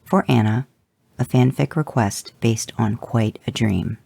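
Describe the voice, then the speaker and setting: Gravelly, expressive podfic reader. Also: mid-range voice, measured pace, no room sound. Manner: expressive, voices the characters. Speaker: podfic reader and podcast producer. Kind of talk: fan fiction audiobook reading.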